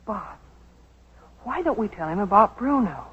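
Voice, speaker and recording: A woman's voice: medium pitch, big pitch swings, unhurried, slightly rough, full character voice. A woman acting an anxious, earnest, wrongly accused secretary in a 1940s radio drama. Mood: worried. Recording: dull vintage 1940s radio recording.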